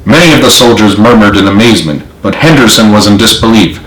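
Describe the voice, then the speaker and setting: A man's voice: on the low side, fast talker, a bit bright. A man reading his own story as scripted narration, in a roomy recording. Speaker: author narrating own book.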